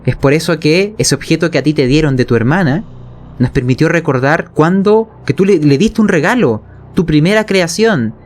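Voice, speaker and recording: Chilean man explaining, slightly high voice. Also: normal speed, faint music behind. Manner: colloquial, checks in with listeners. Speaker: game master teaching narration technique. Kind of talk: explanatory conversation on tabletop role-playing narration.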